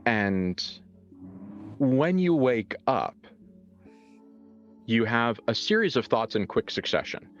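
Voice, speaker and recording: A man improvising tabletop horror narration as game master in a conversational, halting way, reworking his phrases as he goes. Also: medium pitch, very animated. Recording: muffled lo-fi sound, music behind. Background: background music.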